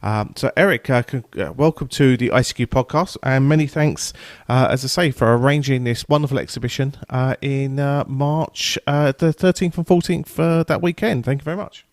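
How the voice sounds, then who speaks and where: British male podcast presenter, mid pitch, informal and hesitant, lots of filler sounds, close dry recording. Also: no echo. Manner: hesitant, halting delivery with frequent filler sounds. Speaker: podcast host and news presenter. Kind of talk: informal podcast news read and interview.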